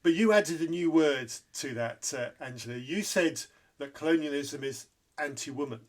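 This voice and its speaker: A man's voice: on the high side, normal speed. Candid male podcast host. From Zambia. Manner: candid, emphatic, repeats for stress. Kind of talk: interview podcast.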